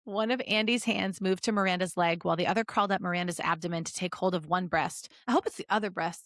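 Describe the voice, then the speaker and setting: A playful woman co-hosting a podcast, moving between expressive story reading and casual banter. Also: on the low side, fast, no echo, clean studio sound. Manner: playful, giggly. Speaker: podcast co-host reading fan fiction aloud. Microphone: close podcast microphone.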